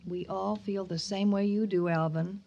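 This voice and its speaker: A woman's voice: medium pitch, fairly even intonation, moderate pace, vocal fry, darkish tone, muffled recording. An actress playing a middle-aged, sorrowful mother, earnest and imploring, in an old-time radio drama. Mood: hurt, concerned. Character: pleading, sorrowful mother of a grieving son.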